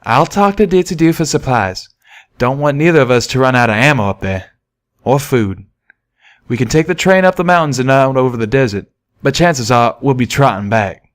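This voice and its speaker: A man's voice: mid-range voice, expressive, moderate pace, voice pushed up, natural voice. A man voicing a brash male pony with a folksy, emphatic way of talking for an audiobook. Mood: determined. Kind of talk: audiobook character voice.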